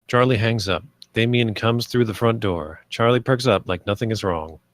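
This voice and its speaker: A man's voice: fairly low pitch, moderate pace, dry recording, clean studio sound. A man reading stage directions as scripted narration at a screenplay table read.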